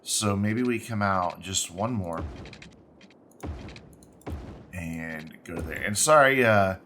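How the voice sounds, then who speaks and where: A man with stuffy, congested sinuses talks through his gameplay in a slow, musing way, often restarting his thoughts. His voice sits low yet carries a bright, forward edge. A faint hiss of noise sits behind him. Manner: unhurried, musing, self-correcting. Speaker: gaming channel host. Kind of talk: let's play commentary, thinking aloud while building.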